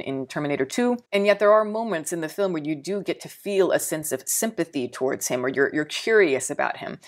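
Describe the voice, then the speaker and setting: A woman with a Texan accent reviewing a film in a casual, rambling way, thinking aloud with fillers and repeated words. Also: low voice. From Texas.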